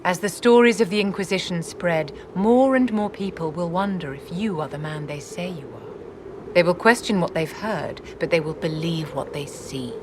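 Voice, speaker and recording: An actress voicing an elegant, imperious court lady in a fantasy game, measured, haughty and calculating. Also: fairly low pitch, natural voice. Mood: grave, instructive. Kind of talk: dramatic video game acting. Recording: clearly noisy ambient background.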